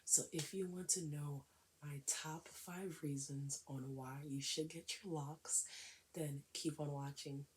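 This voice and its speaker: Woman, raspy from a cold, low pitch, unhurried chatty vlog, half-whispered stretches. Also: steady tone, slight background noise, poor audio. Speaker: hair vlogger. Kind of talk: casual direct-to-camera vlog.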